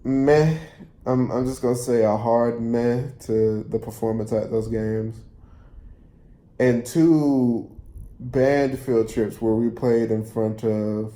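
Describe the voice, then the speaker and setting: A man tells a personal story in a casual, conversational way. His voice is relaxed and mellow and his delivery is unhurried. He rambles a little, stopping to rephrase and repeat himself as he goes. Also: mid-range voice. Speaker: storyteller vlogger.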